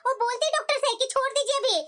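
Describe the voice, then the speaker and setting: A woman's voice: very high voice, fairly even intonation, racing, noisy background. A woman voicing an elder daughter-in-law who gossips and taunts. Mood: spiteful.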